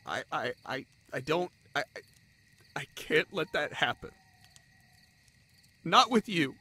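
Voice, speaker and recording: A man playing an anguished, self-sacrificing young spider-folk lover in a romantic audio drama, halting and pleading in manner. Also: a little high, big pitch swings, pushed and bright, slightly rough, heavily acted. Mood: anxious pleading.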